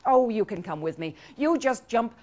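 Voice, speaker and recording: A woman's voice: a little high, animated, normal speed, a little mellow, close to an everyday voice. A proud, kindly duck from a storybook, acted out by a woman storyteller. The duck quacks before speaking, then mumbles and dithers through each thought. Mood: hesitant, agreeable.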